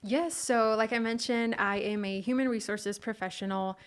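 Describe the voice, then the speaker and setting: A woman's voice: a little high, steady tone, normal speed, clean tone. A young woman from California, a podcast guest, talking in a friendly, chatty way with many ums and uhs. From California, USA. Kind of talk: casual interview chat.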